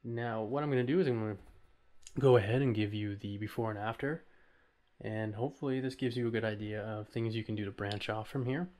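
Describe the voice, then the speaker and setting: A man's voice: on the low side, steady tone, fairly quick, a little mellow. A man hosting an informal audio tutorial, talking through each step in a casual, somewhat hesitant way. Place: voice-over recorded while working at a computer.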